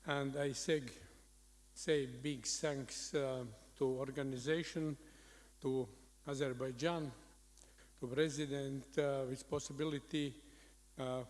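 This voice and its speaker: A man from Latvia with a Latvian accent, giving a formal conference speech in halting, broken English fragments. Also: mid-range voice, fairly even intonation, big-room sound. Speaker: mayor speaking as invited presenter.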